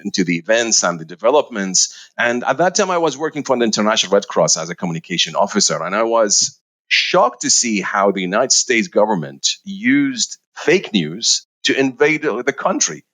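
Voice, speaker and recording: A man's voice: fairly low pitch, animated, normal speed, a bit bright. A middle-aged man from Iraq, a researcher answering interview questions with many fillers and restarts. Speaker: researcher guest.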